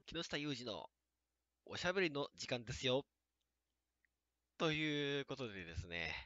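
A man's voice: medium pitch, very animated, normal speed, bright, forceful tone, no room sound, faint music behind. A man hosting a casual radio chat show. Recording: dull lo-fi, dry sound.

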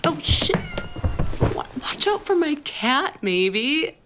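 A woman playing a playful, flirty, tender best friend with a crush in an intimate, halting ASMR roleplay. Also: sits high, big pitch swings, heavy background noise, heavily acted. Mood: excited, playful. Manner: teasing, halting, giggly delivery. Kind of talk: intimate ASMR roleplay, acted one-sided conversation.

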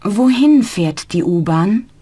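A woman's voice: mid-range voice, animated, slow, warm tone, breathy edge. A woman voicing a polite young language student in a scripted learner dialogue.

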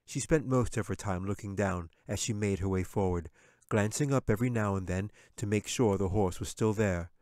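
A man's voice: on the low side, normal speed, darkish tone, no echo, clean studio sound. A man narrating a scripted fantasy audiobook as its author and storyteller.

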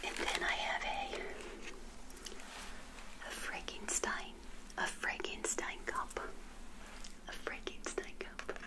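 An older woman whispering a gentle, hospitable ASMR roleplay as a friendly witch, softly repeating her phrases. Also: slow, pauses often.

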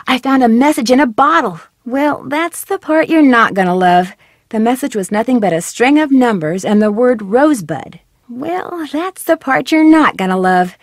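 A woman's voice: on the high side, dry recording, studio quality. An actress voicing a curious, persistent young female detective in a mystery game, asking direct, friendly questions. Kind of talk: game voice acting, studio lines. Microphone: close studio mic.

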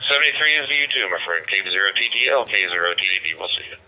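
Man, American accent, deep-leaning pitch, very sharp tone, two-way radio transmission, some noise, casual chat. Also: lively intonation, racing. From central Kansas, United States. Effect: two-way digital radio. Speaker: amateur radio contact. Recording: noisy digital two-way radio speaker.

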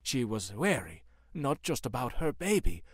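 A man narrates a horror tale in a dramatic, scripted style. His delivery is animated, with a lot of movement in a medium-pitched voice. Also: normal speed, husky, no echo, studio quality.

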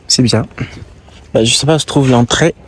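A man's voice: medium pitch, takes it slowly, breathy edge. A young man casually narrating a travel vlog while walking through city streets, thinking aloud with hesitant restarts. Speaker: travel vlogger. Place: busy city streets outdoors. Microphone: handheld camera while walking. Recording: outdoor street noise. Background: street and traffic noise.

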